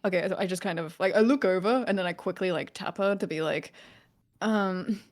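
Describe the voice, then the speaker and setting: A woman playing a tabletop game, casually describing her character's actions in a halting, giggly way. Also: medium pitch. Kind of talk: casual, improvised roleplay chat.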